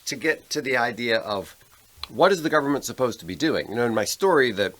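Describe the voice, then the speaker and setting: A man's voice: medium pitch, animated, a little fast, fairly bright tone, a little hiss or hum. An American man co-hosting a podcast, explaining and discussing politics in a casual, conversational way. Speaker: podcast co-host.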